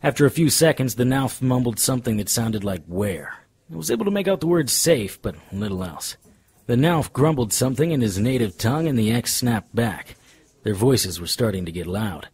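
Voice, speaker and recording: An actor playing a wry, deadpan, hardboiled supernatural private investigator narrating a noir radio drama in a husky voice. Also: male voice, lowish voice. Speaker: narrator and lead.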